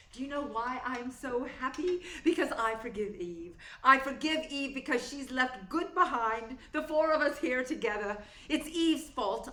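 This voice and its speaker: A proud, sardonic stage diva acted by a woman in a radio play. Her voice is forceful and slightly high, with theatrical declarations. It echoes in the room over faint noise. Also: full character voice. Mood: giddy, sardonic. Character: proud aging stage star, witty, dramatic, newly contented. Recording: roomy echo, faint noise.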